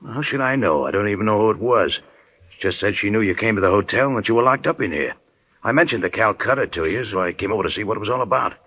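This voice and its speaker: Gruff low american guy, husky and sharp, defensive, old radio crime show. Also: expressive, fast. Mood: wary, defensive. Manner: curt, defensive. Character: wary, grudging male acquaintance of a detective. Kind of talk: old-time radio crime drama acting. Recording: dull old radio recording.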